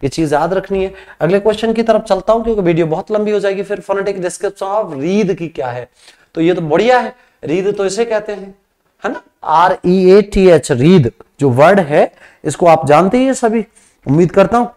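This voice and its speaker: A man in his thirties with a Rajasthani Hindi accent, teaching an English exam practice class. He asks students questions, answers them himself, and stretches English words out slowly. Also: sits high, animated, a little mellow. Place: classroom studio with a whiteboard screen.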